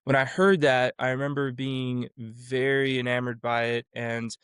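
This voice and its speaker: A man in his forties from Florida, speaking as a guest on an interview show. His voice is smooth and resonant at a middle pitch. He rambles and restarts as he tells his story, on a dry, close mic. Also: fairly even intonation, a little slow, a bit bright, dry recording. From Florida, USA. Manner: rambling, with many restarts and fillers. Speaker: vocal coach and music producer guest. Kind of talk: casual interview conversation.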